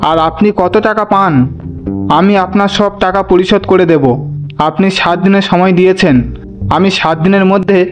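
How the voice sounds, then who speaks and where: Young cartoon hero, voiced by a man: high voice, brave, earnest, protective, faint music underneath. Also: steady tone, normal speed, close to an everyday voice. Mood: firm. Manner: earnest, resolute. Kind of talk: Bengali cartoon voice acting.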